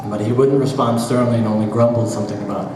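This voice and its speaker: A man giving a dramatic, deliberate literary reading of first-person prose, with drawn-out pauses and rhythmic repetition. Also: mid-range voice, fairly even intonation. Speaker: author reading own work aloud. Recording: very echoey hall, distant mic, background noise.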